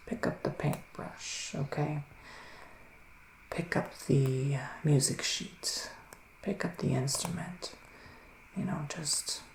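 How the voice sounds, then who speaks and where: A man playing a solemn psychic male witch in a soft-spoken, half-whispered ASMR roleplay, deliberate and full of breathy pauses. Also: a little high, reverberant, rough recording. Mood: coaxing, hushed. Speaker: ASMR performer.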